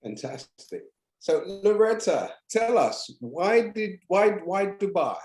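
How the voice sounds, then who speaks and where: A woman interviewer asks conversational questions at an unhurried pace. Her pitch moves widely up and down, and the sound is echoey and roomy. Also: sing-song, darkish tone. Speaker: interviewer, host.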